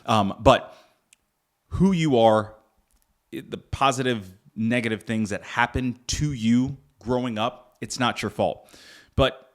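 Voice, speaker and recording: A man's voice: medium pitch, takes it slowly. A young man from Northern Virginia hosting a solo podcast, earnest and reflective, with frequent fillers and restarts. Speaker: podcast host. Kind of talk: casual solo podcast talk.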